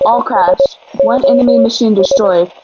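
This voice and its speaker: A woman's voice: a little high, moderate pace, warm tone, highs cut off, slight background noise, lightly acted. A woman playing a military radio operator in a radio play, giving clipped, urgent call-outs and reports. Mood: urgent, official.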